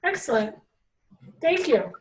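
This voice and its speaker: A woman's voice: high voice, big pitch swings, slow pace. A woman hosting a group video call, upbeat and chatty as she welcomes people. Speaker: host. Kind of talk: casual video chat.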